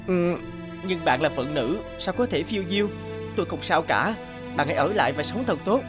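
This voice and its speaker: Polite young man, fairly high voice actor. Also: lively intonation, fairly quick, lightly acted. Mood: reluctant, gentle. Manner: polite, earnest. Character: polite, humble, kind-hearted young male wanderer. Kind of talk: cartoon fairy-tale dub. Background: background music.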